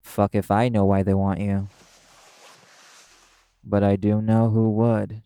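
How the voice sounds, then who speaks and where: A man's voice: lowish voice, level tone, takes it slowly, mellow, a little hiss or hum. A man playing a brash, cynical male demon who speaks bluntly and scornfully in an audio roleplay. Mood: cynical, dismissive. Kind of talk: dramatic audio roleplay addressed to the listener.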